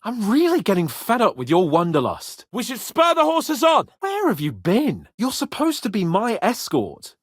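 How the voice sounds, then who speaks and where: Haughty young nobleman, wildly swinging pitch. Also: wildly swooping pitch, normal speed, few pauses, husky, dry recording, clean studio sound, full character voice. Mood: impatient, bossy. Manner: imperious, sweary, theatrical. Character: haughty, hot-tempered young nobleman, foul-mouthed. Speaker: video game voice actor. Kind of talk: medieval role-playing game dialogue.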